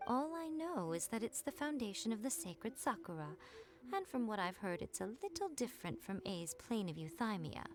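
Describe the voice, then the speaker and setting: An actress voicing a sly, poised shrine priestess with a teasing, arch manner in a video game cutscene. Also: female voice, mid-range voice, sing-song, normal speed, music in the background. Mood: amused.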